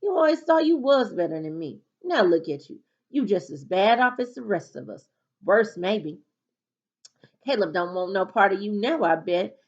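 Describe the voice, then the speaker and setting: A woman's voice: medium pitch, animated, moderate pace, highs cut off, voice pushed up, natural voice. A woman voicing a cocky, taunting schoolboy bully in a storybook read-aloud. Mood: gloating.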